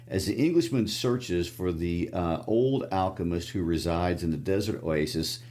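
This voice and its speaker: A man with a Midwestern accent, co-hosting a casual book discussion podcast and explaining the story in a relaxed way. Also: low-pitched, normal speed. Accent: Midwestern American. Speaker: podcast co-host.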